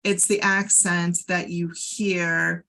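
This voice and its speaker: A woman with a General American accent, talking in a measured, explanatory way as a co-host in a casual podcast conversation. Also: fairly low pitch, little pitch movement, gravelly, bright, forceful tone. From United States, Ohio.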